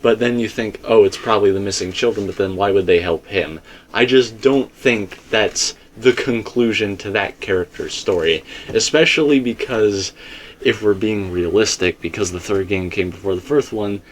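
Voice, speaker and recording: A man's voice: lowish voice, moderate pace, a little hiss or hum. A man talking through a fan theory in a casual, emphatic and rambling way, full of asides. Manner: emphatic, rambling, speaks in asides and self-quotes. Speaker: video commentator and theorist.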